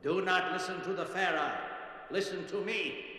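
A man's voice: high-pitched, normal speed, sharp tone. A man playing a sinister demon posing as a school principal, insinuating and commanding, in an audio drama. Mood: coaxing, sinister. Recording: heavy echoing hall reverb.

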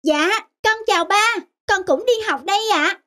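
A woman's voice: extremely high pitch, normal speed, fairly bright tone. A woman voicing a polite, shy little boy in a cartoon family drama. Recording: music behind.

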